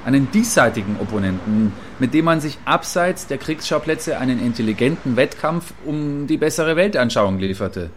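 A man's voice: mid-range voice, lively intonation, normal speed, hardly any pauses, a little hiss or hum, close to an everyday voice. A man playing a provocative, philosophizing radio commentator who muses and questions in a radio play. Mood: musing, nostalgic.

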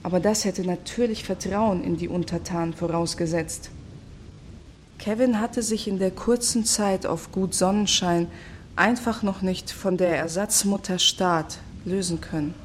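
An actress playing an embittered, idealistic commune woman in a measured, sardonic audio drama monologue. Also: lowish voice, slight background noise, lightly acted. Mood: grieving, bitter.

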